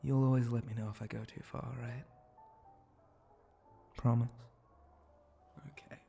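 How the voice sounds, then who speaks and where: A sly, flirty spider-monster bartender acted out by a man murmurs in a mellow, creaky voice for an intimate ASMR roleplay. He takes his time, very slow and teasing, and often slips into a breathy whisper. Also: mid-range voice, flat delivery, faint music behind. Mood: intimate, playful.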